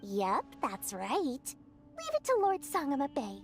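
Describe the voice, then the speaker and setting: An extremely high, squeaky, cheeky little girl for an anime-style video game. Her pitch bounces around excitably. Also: slow pace, soft background music, heavily acted. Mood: confident, eager.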